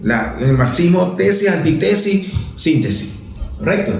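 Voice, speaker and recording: A man's voice: on the high side, sing-song, normal speed, gravelly, soft background music. A Venezuelan man in his fifties, a professor and politician, explaining political history in a methodical lecturing way, checking in with "no?" as he goes. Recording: very echoey room.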